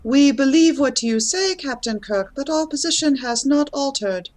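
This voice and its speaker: A woman playing a solemn, unyielding female alien councillor in a sci-fi fan audio drama, speaking formally and gravely. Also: high-pitched, animated, moderate pace, background noise. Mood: solemn, resolute.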